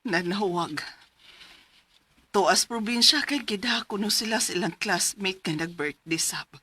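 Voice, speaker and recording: A woman's voice: mid-range voice, a little slow, pushed and bright, breathy edge. A woman acting a worried, long-suffering mother in a radio drama, with a Cebuano Filipino accent. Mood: concerned. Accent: Filipino, Cebuano-speaking.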